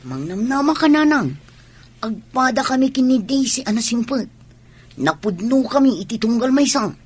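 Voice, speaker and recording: A woman's voice: a little high, very animated, measured pace, husky, exaggerated voice. A woman with an Ilocano accent playing a hopeful, devoted grown child in a radio drama.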